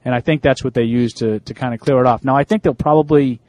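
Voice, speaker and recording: Man, sports radio co-host, casual chat, dry close sound, mid pitch, reads emails with halting fillers. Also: steady tone, darkish tone, dry recording. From Italian-American.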